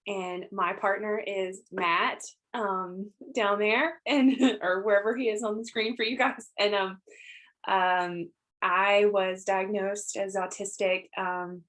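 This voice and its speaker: A woman chats through her introduction on a video call, filling pauses with ums. Her pitch sits in the middle of the range. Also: fairly even intonation, fairly bright tone. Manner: chatty, frequent ums, light laughs. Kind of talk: round table introduction.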